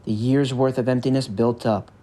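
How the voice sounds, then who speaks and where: A man's voice: medium pitch, fairly even intonation, normal speed, dark tone. A man reading a scripted story narration as the storyteller of an audio drama. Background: music.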